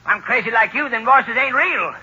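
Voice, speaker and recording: An actor playing a menacing, crazed hunchbacked hillbilly with a rasping voice and rural American accent in 1930s radio drama. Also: male voice, very high voice, lively intonation, moderate pace, bright, forceful tone, exaggerated voice. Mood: defiant, murderous. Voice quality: rasping, creaky. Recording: muffled, lo-fi 1930s radio recording.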